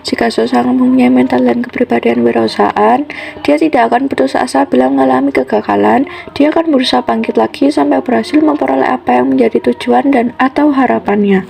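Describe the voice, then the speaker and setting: A woman's voice: high voice, steady tone, a little fast, gravelly, darkish tone. A teenage girl on a student podcast, chatty and asking listeners questions as she explains. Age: teens. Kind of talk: school podcast presentation.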